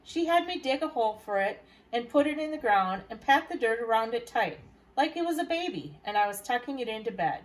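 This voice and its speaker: A woman's voice: on the high side, sing-song, brisk, poor audio. A woman reading aloud as a library storyteller, narrating a children's novel. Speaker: library staff reader.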